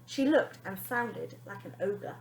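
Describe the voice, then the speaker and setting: A woman teacher reading a children's story aloud in an expressive style. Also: medium pitch, takes it slowly, gravelly, roomy sound, slight background noise, rough recording.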